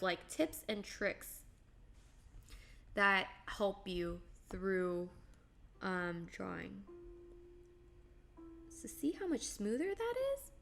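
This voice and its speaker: A woman's voice: medium pitch, a bit bright. A woman in her late twenties hosting a livestream, chatting casually and bubbly while she draws and reads out viewer comments. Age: late 20s. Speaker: livestream host. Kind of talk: casual chat while drawing on stream.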